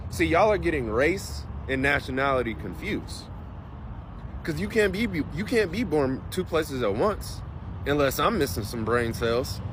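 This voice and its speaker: A man's voice: on the high side, background noise. A man walking listeners through an argument step by step, with frequent "right?" checks and swearing. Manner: rhetorical, step-by-step, profane. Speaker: commentator. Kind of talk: casual argumentative monologue.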